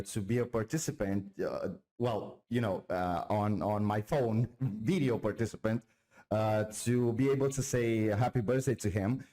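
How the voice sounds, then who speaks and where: Mellow-voiced male interviewer. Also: on the low side. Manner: chatty, encouraging, repeats words when asking. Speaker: interviewer, channel host. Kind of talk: casual interview questions.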